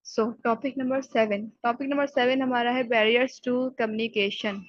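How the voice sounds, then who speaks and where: A woman giving a recorded exam-prep lecture, who reads English definitions slowly and then explains them in Urdu. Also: on the high side, steady tone, no room sound. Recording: dull lo-fi sound.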